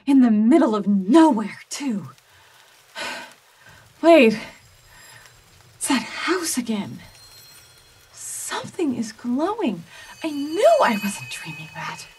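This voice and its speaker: A woman's voice: on the high side, very animated, slow pace, pauses often, darkish tone, a little hiss or hum. A woman playing an impulsive, anxious schoolgirl in a modern audio drama, with an emotional, exclamatory delivery. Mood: uneasy, muttering. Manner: emotional, exclamatory, swinging between outbursts and muttering.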